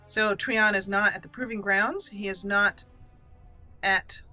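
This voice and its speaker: A woman with a very bright, crisp-edged voice narrates a video game casually. She thinks aloud in a playful way and slips into character, with faint music behind her. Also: medium pitch, fairly even intonation, unhurried. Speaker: gaming channel host, role-player. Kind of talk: casual let's play commentary with in-character lines.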